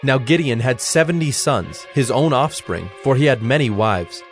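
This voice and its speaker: A man narrating scripture from a script in a measured, solemn manner. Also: mid-range voice, lively intonation, soft background music.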